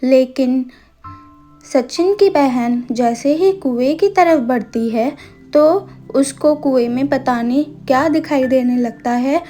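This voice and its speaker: A woman's voice: high voice, slow, warm tone, faint music behind. A woman narrating a scripted cartoon story as the storyteller.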